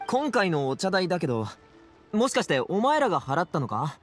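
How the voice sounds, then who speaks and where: An actor playing an earnest, brash young man in an anime game, speaking in a casual, rough-edged youthful way. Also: sits high, sing-song, normal speed, over loud music. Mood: flustered, determined. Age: young adult.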